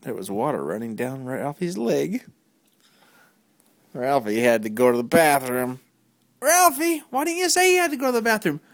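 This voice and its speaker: A father narrating a playful family skit, jumping into high, exaggerated questions as he plays along. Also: big pitch swings, normal speed, long pauses, no room sound, studio quality. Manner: jumps into high, exaggerated questions when voicing his lines. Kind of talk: playful home-video narration.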